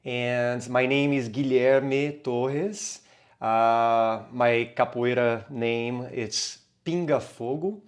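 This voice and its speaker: A man with a Brazilian Portuguese accent talks through his story as a podcast guest. His voice sits in a middle range, and he speaks haltingly, with many fillers and restarts. He is a capoeira teacher. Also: mid-range voice. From Brazil, São Paulo. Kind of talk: casual interview talk.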